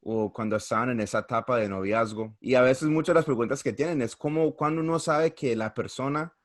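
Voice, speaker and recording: A man's voice: medium pitch, brisk. A man hosting a casual interview show, mixing English phrases into his Spanish. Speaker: talk show host.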